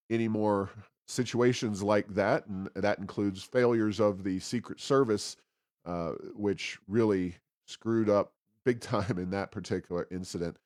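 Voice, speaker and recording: A man hosting a podcast, giving conversational political commentary with frequent pauses mid-sentence. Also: on the low side, a little mellow, no room sound, studio quality. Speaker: podcast host.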